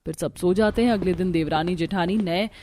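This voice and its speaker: A woman's voice: on the low side, fairly quick, mellow. Woman narrating a cartoon story, music behind.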